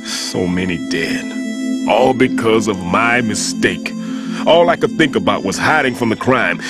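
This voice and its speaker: A man playing a weary, guilt-ridden older bomb disposal expert in a video game, speaking gravely and dramatically. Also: on the low side.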